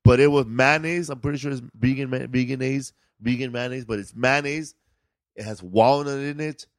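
A man's voice: medium pitch, steady tone, moderate pace, dry recording, clean studio sound. A man hosting a casual podcast, chatting in a laid-back, slangy, repetitive way. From California. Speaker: podcast host. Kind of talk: casual comedic podcast chat.

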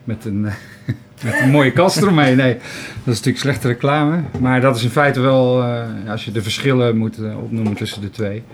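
A man, an organist, talking casually in an interview in a hesitant, halting way. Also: mid-range voice, slight background noise. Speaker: Hammond organist.

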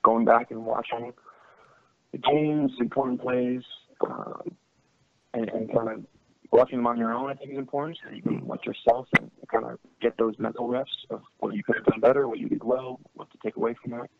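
A man's voice: medium pitch, fairly even intonation, moderate pace, vocal fry, phone-quality sound. A young man from France and California who plays professional soccer as a goalkeeper, answering interview questions in a thoughtful, easygoing, casual way. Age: young adult.

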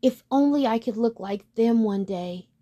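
A woman's voice: medium pitch, expressive, a little slow, a little mellow, highs cut off. A woman voicing a sad, lonely young male duckling in a storybook reading, with a plaintive, pleading way of talking.

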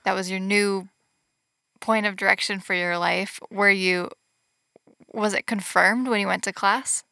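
Female podcast host, crisp bright tone with a gravelly edge, encouraging; dry room. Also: medium pitch, steady tone, no echo. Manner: encouraging, quick reactions, laughs often. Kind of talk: casual interview chat.

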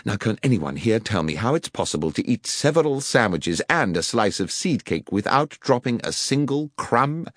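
Sharp bossy detective guy, crisp and precise, lively up-and-down voice, dry sound, a man reading it. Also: medium pitch, normal speed, few pauses, no room sound, voice pushed up. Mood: probing. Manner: crisp, precise, probing questions. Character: sharp, commanding male detective, middle-aged. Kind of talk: audiobook reading of a detective story.

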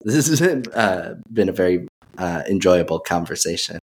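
A man's voice: deep voice, lively intonation, moderate pace, husky. A man hosting a podcast, asking questions casually, with a hesitant manner and many uhs. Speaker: podcast host. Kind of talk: casual interview.

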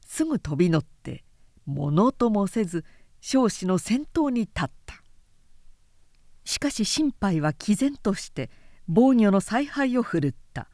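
A woman narrates a historical novel in a formal, measured way. Her reading is unhurried, with pitch that moves widely from phrase to phrase. The sound is dry and close. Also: darkish tone, no echo. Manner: measured, formal storytelling delivery.

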